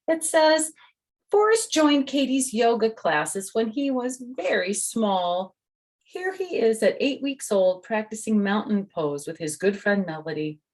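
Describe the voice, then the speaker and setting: A woman's voice: mid-range voice, darkish tone. An older woman reading a children's picture book aloud, speaking to young listeners and adding chatty personal asides.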